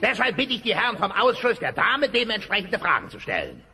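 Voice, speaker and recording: A man's voice: high voice, wildly swooping pitch, brisk, a crowd in the background, full character voice. A man playing a pompous, scheming dinosaur committee chairman in a comic radio play, officious and blustering.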